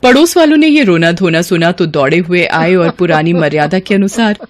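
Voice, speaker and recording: A woman's voice: on the low side, lively intonation, moderate pace. Woman narrating a literary story.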